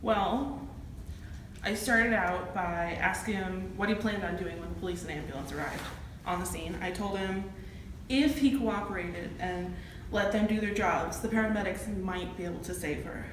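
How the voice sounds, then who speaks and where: A woman's voice: mid-range voice, normal speed, lightly acted. A woman acting a shaken, plain-spoken campus safety officer testifying in an amateur courtroom drama. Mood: tense, recounting. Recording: echoey, distant room with clear noise.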